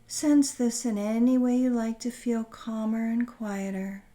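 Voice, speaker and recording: Female meditation guide, soothing and gentle, with very unhurried, deliberate phrasing and a dark, relaxed tone. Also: fairly high pitch. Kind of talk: guided meditation, scripted narration.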